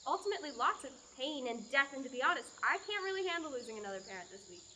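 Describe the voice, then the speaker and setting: A sarcastic peasant daughter played by a young woman speaks in a high, bright, deadpan voice. A faint background hiss sits under her lines. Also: very fast, heavily acted. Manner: dry, deadpan morbid humor. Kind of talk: student radio play acting.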